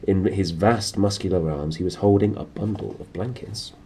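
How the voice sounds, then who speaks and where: A man's voice: low-pitched, moderate pace, dark tone, slightly rough. A man with a sniffly nose reading a book expressively, with casual asides. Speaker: storyteller and reader.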